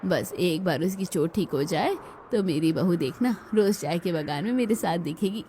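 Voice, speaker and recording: A woman's voice: fairly low pitch, expressive, moderate pace, dark tone, a little hiss or hum, lightly acted. A woman voicing an older village mother-in-law, proud, practical and kindly, in a cartoon story. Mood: proud, defensive. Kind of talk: scripted cartoon story dialogue. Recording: music behind the voice.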